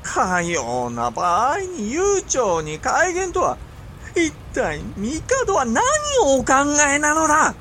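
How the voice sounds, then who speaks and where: An actor playing an imperious, impatient and suspicious medieval Japanese ruler in a period audio drama, with theatrical, drawn-out emphasis. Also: male voice, very high-pitched, wildly swooping pitch, a bit bright, slight background noise, heavily acted. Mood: imperious, displeased. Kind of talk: period radio drama acting in archaic speech.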